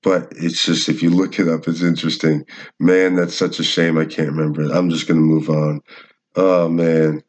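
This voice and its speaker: A man's voice: very deep voice, level tone, normal speed. A man who reads aloud from a book and adds informal, rambling commentary. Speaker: channel host reading a book. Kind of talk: book reading with casual commentary.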